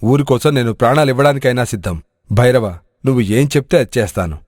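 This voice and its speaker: A man voicing an excitable, loyal village adventurer in an animated moral story, with a dramatic delivery. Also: mid-range voice, fast talker, no echo, clean studio sound, natural voice. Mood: bold.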